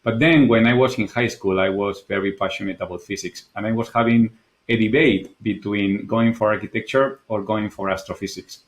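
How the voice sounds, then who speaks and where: A man from Spain speaks English with a clear Spanish accent, his voice sitting a little low. He answers podcast questions in an earnest, reflective way, often circling back on a word before he finds the next. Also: fairly even intonation, moderate pace. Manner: thoughtful, earnest, repeats words while searching for them. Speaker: guest, architect and designer. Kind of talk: candid podcast interview.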